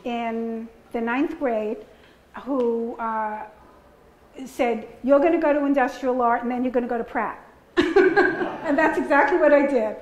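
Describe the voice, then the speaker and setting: A woman's voice: high voice, a little mellow. An older woman in her seventies with a Bronx New York accent, answering audience questions at a museum talk in a chatty, rambling way with frequent laughter. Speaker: artist giving a talk. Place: museum gallery before a seated audience. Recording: roomy gallery with faint ambience.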